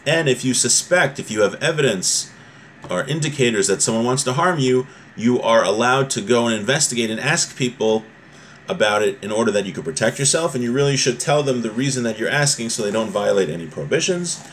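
A man's voice: medium pitch, lively intonation, a little fast, a bit bright, a little hiss or hum, rough recording. American man teaching in a conversational way. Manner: explanatory, conversational, switching between recitation and plain paraphrase. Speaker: religious teacher giving a lesson. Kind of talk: informal lesson, reading Hebrew text aloud and explaining it. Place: small room at home, indoors.

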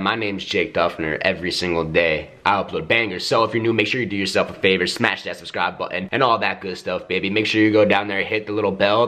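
A man's voice: lowish voice, a bit bright. A young man vlogging to a handheld camera at arm's length in a house, talking in a hyped, playful way. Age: young adult. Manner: hyped, playful, whiny when pleading. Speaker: YouTube vlogger. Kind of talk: energetic casual vlog talk. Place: house interior, bedroom and hallways.

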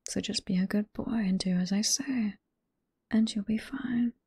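A woman with a British accent playing a flirty, teasing, sweet head librarian boss in a whispered ASMR roleplay, soft and coy, close to the microphone. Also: medium pitch, steady tone, a little slow, husky. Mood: teasing, mock-stern. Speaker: voice performer in roleplay.

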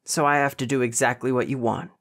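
A man's voice: mid-range voice, steady tone, quick pace, fairly bright tone, close to an everyday voice. A man voicing an earnest, emotional teenage boy, anxious yet defiant, pleading and hesitant in an audiobook.

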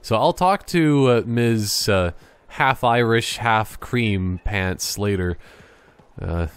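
A man's voice: on the low side, slow pace, clean tone, no room sound. A man giving casual, joking gameplay commentary, rambling in a silly, mock-serious way.

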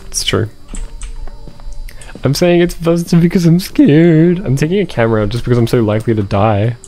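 A man's voice: on the high side, moderate pace, mellow, soft background music. A young man giving casual live gaming commentary with sarcastic, teasing banter into a close desk microphone. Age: young adult. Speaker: game streamer. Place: small indoor room. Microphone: desk microphone on arm, close.

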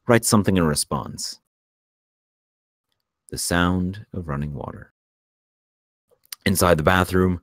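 A man with an extremely low voice narrates a screenplay's action lines. His reading is measured and scripted. The recording is dry, with very little room sound. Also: no room sound. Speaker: narrator reading stage directions.

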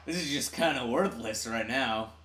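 A man's voice: mid-range voice, normal speed. A young man giving casual, flustered gameplay commentary during a co-op video game session. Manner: excitable, easily flustered. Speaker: video game streamer playing co-op. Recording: loud game music behind. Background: game music and cutscene audio.

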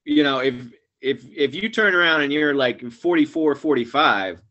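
A man in his forties, a guest actor and comedian, chatting casually over a webcam call from a small room at home, rambling and trailing off quietly at the ends of phrases. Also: a little high, expressive, normal speed, highs cut off. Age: 40s. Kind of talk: casual video-call chat. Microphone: webcam video call.

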